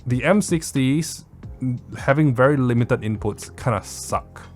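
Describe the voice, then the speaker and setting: A man's voice: medium pitch, a little slow, soft background music. A man giving a casual product review in a blunt, opinionated, casually sweary manner. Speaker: tech reviewer.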